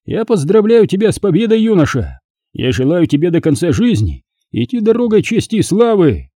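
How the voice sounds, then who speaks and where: Man playing a booming grand master, pitched high. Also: very animated, moderate pace, warm tone, no echo, clean studio sound. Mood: proud, celebratory. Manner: ceremonious, emphatic oratory. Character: booming, proud grand master of a knightly order.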